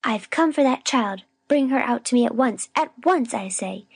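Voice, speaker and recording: A woman reading a storybook, playing a stern, sharp-tongued spinster with a grim, scolding manner. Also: on the high side, expressive, normal speed, husky, no room sound, pitched above the performer's own voice. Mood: furious demanding. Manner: grim, firm, scolding, sniffy.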